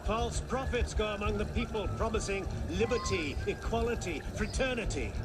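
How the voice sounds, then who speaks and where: A man's voice: high-pitched, moderate pace, natural voice. An actor playing a zealous, embittered leader in a video game, declaiming solemn, fervent speeches to his followers. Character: zealous grand orator, Templar leader, embittered and commanding. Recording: loud music behind. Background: music.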